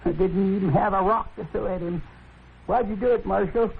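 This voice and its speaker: A proud old American plainsman in a 1950s radio western. His voice is gravelly and fairly high, bitter and defiant in a folksy way. It comes through a thin, noisy old broadcast. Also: steady tone, fairly quick, narrow, tinny audio. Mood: bitter accusation. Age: older.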